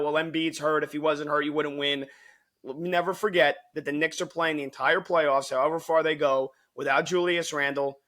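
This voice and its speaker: A man co-hosting a sports podcast, excited and emphatic, with a voice worn from shouting. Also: fairly high pitch. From United States. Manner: excited, emphatic, restarts often. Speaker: podcast co-host.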